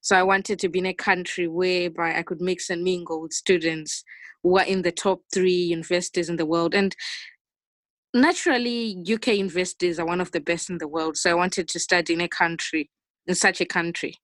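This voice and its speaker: A woman's voice: fairly low pitch, steady tone, fairly quick, a bit bright, no echo, clean studio sound. A young woman with a Zimbabwean accent, answering questions as a guest in a conversational interview over a video call. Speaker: interview guest, finance analyst.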